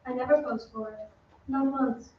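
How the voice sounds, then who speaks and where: An actress plays a polite young woman of the opera with a high voice in an old-time radio play. She speaks slowly and gently, easily flustered. The sound is distant and muffled, ringing heavily around a theatre stage. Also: steady tone, very echoey, lightly acted. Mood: uneasy.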